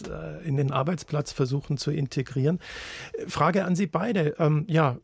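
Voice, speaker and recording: A man's voice: fairly high pitch, vocal fry, darkish tone, breathy edge, no room sound. A man hosting a phone-in radio show, politely thanking callers and putting questions to his guests. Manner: polite, brisk moderating. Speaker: radio host.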